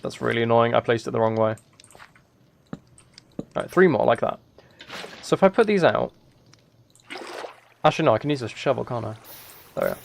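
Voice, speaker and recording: A man's voice: mid-range voice, big pitch swings, normal speed, vocal fry, pauses often, slight background noise. A man giving casual gaming livestream commentary, thinking aloud and correcting himself as he chats with viewers. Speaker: live streamer.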